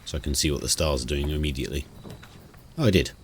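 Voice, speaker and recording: A man's voice: rumbling low, quick pace, slight background noise. A man hosting a casual game playthrough, stuffy-nosed from a cold, thinking aloud and rambling with restarts. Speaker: gaming channel host. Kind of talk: casual let's-play commentary. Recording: music playing under the voice. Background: new-age music.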